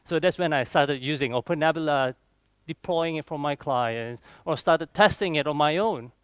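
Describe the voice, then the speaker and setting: A man's voice: a little high, normal speed, smooth, no echo. A young man with a Malaysian accent giving an informal, chatty technical talk as a conference speaker, often checking in with his listeners. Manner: chatty, frequent rhetorical check-ins like "okay" and "right". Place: conference room, on stage before a seated audience.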